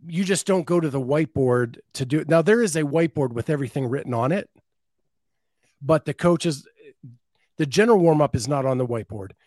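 A man's voice: on the high side, brisk, darkish tone, no echo, clean studio sound. A man hosting a podcast, reading listener comments aloud and expanding on them in casual chat. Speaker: podcast host.

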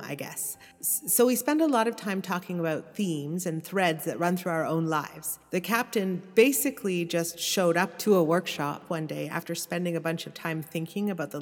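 A woman's voice: lowish voice, big-room sound. A woman hosting a podcast, explaining in an easy, conversational narration. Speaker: podcast host.

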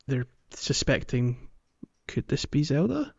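A man hosting a podcast, casually recapping a video game in a hesitant, halting way. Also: medium pitch, big pitch swings, gravelly, darkish tone, husky, muffled recording. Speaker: podcast host.

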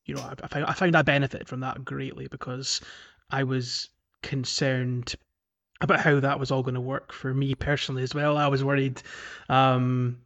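A man's voice: mid-range voice, little pitch movement, normal speed, creaky, fairly bright tone. A man co-hosting a casual gaming podcast, explaining his thoughts in a reflective, hesitant way. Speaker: podcast co-host.

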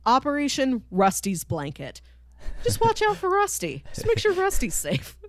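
A woman's voice: sits high, huge pitch swings, normal speed, no room sound, clean studio sound. A woman co-hosting a podcast, talking casually through a TV show and acting out imagined lines as she explains. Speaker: podcast co-host. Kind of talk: casual conversational TV show discussion.

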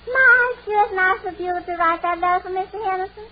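A woman's voice: extremely high pitch, a little fast, lo-fi sound. An actress playing a cheerful, dim-witted young woman neighbor in a vintage radio sitcom. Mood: cheerfully dim. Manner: dim-witted, eager, oblivious. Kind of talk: 1940s-50s radio sitcom acting. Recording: old radio recording, loud audience, heavy noise.

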